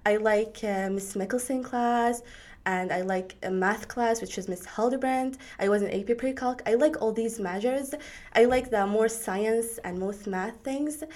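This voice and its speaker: Teen girl, Arabic accent, non-native speaker, hesitant, pauses to find words. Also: fairly high pitch, darkish tone. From Syria. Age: teens. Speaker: graduating student guest. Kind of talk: casual interview answers.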